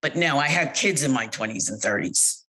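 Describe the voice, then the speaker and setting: A middle-aged American woman recounting her work experiences in an interview, speaking candidly and growing animated when she quotes others. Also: very deep voice, moderate pace. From United States. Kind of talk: personal account in an interview.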